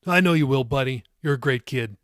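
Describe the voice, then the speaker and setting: A man's voice: medium pitch, a little fast, a bit bright, no echo, clean studio sound, lightly acted. A man voicing a jokey, affectionate young father in an audiobook story. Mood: joking, affectionate.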